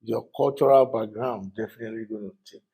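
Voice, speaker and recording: An older man from Nigeria with a Nigerian accent, a podcast guest talking casually in a studio, halting and full of fillers. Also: on the low side, fairly even intonation, gravelly, mellow, husky. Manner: halting, with frequent fillers and restarts. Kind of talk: casual interview talk.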